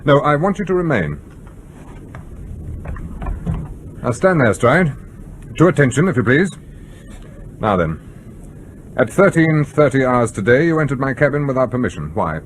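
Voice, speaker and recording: Man playing a stern submarine commander, animated delivery. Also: mid-range voice, normal speed, pauses often, slight background noise, natural voice. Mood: stern, controlled. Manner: clipped, commanding, coldly polite. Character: stern, menacing male nuclear submarine commander interrogating a crewman. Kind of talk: broadcast radio drama acting.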